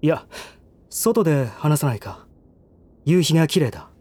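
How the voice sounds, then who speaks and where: A male actor playing a refined, sheltered young gentleman in a voice drama, speaking formally and earnestly. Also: fairly high pitch, animated, a little slow, breathy edge. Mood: earnest, measured. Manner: formal, stiff, earnest speech. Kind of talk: Japanese voice drama acting.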